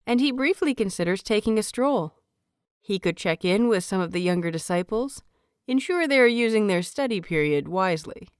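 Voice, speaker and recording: A woman reading scripted fiction as an audiobook narrator, with measured, expressive phrasing. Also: medium pitch, clear, resonant tone, dry recording, studio quality.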